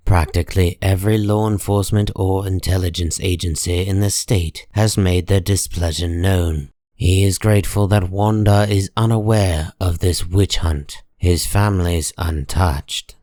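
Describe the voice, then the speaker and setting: A man with a very low voice narrates a dark comic-book tale. He takes his time, grave and measured, placing each phrase deliberately. The sound is dry, with no room around it. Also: dry recording.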